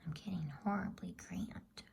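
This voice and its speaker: A woman voicing a practical, sensible schoolgirl in a storybook, in a breathy, matter-of-fact ASMR whisper. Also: lowish voice, steady tone, a little slow, a little mellow. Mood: complaining. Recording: faint background noise.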